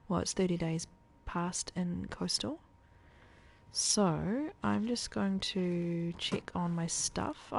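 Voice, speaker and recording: Woman streamer, lowish gravelly voice, chatty thinking-aloud commentary. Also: a little mellow. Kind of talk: casual gameplay commentary.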